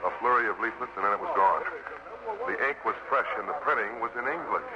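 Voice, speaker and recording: An actor playing a thoughtful, dry-witted American soldier, measured and wry, narrating and talking in a 1950s radio drama. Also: male voice, on the low side, over music, natural voice. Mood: sober. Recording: noisy, dull 1950s radio recording.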